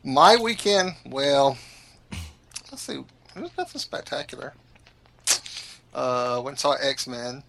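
Gravelly man teasing his podcast co-host. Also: medium pitch, sing-song, slow, long pauses, fairly bright tone, slight background noise. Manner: teasing, chatty storyteller. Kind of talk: casual chat.

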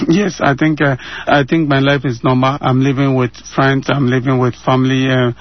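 A man's voice: mid-range voice, level tone, moderate pace, no room sound. Earnest Ghanaian man, muffled line. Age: 30s. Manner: earnest, repeats phrases for emphasis. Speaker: interviewee. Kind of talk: candid interview answers. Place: at home.

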